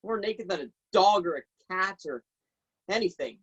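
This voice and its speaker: A young man playing a troubled, impassioned teenage boy in a halting, emphatic theatrical monologue. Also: high-pitched, lively intonation, slightly rough, lo-fi sound. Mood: indignant. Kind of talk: theatrical monologue, staged reading. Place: remote video call.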